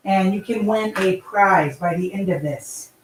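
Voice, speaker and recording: A woman's voice: medium pitch, unhurried, slight background noise. Chatty woman host in an echoey room. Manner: chatty, loose, lots of fillers. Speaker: livestream host. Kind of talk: casual live-stream hosting. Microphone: in-ear microphone.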